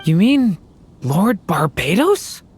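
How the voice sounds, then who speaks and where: A performer voicing a calm, polite and thoughtful young companion in a game. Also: mid-range voice, slow, a little hiss or hum. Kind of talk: game voice acting. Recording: game audio with music behind.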